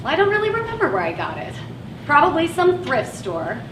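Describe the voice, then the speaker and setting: An actress playing a patient, kindly van driver in a stage play, coaxing and reassuring. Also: female voice, high-pitched, wildly swooping pitch, brisk. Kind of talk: live stage play acting. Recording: distant echoey stage, audience noise.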